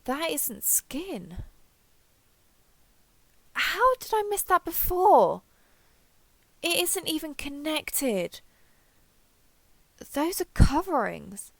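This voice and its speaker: A woman voicing an excitable, wary alien explorer, thinking aloud in a wry, hesitant internal monologue for an audio roleplay. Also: sits high, gravelly, no room sound, exaggerated voice. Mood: uneasy, curious, then amazed. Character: excitable, wary female alien explorer with blue-grey skin. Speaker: voice actor.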